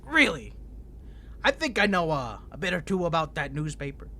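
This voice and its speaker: Crazy ancient vampire prisoner, flirty n taunting, swoopy sharp voice, audio roleplay. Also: male voice, on the high side, big pitch swings, moderate pace, exaggerated voice. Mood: curious, probing. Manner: taunting, flirtatious, theatrical, with mock-sweet endearments. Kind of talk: scripted character roleplay audio.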